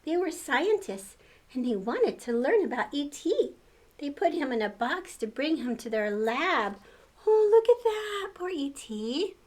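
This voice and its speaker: A woman's voice: sits high, very animated, unhurried, darkish tone. An older woman reading a storybook aloud to children, expressively, with exclamations and questions to the listener.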